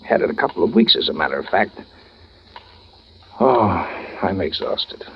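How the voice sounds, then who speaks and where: An actor playing an exhausted, ailing prison warden in a vintage radio drama, speaking in a halting, weary, sighing way. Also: male voice, sits low, very animated, gravelly, slight background noise, heavily acted. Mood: weary, pained. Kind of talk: 1940s-50s radio drama acting. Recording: muffled old radio recording.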